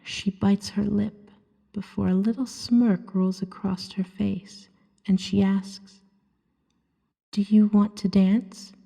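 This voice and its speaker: A woman voicing a seductive, gently hypnotic female vampire in a soft ASMR roleplay, breathy and often near a whisper, coaxing and soothing as she tells a story to the listener. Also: medium pitch, level tone, heavily acted. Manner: slow, soothing, hypnotic second-person narration. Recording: long hall-like reverb.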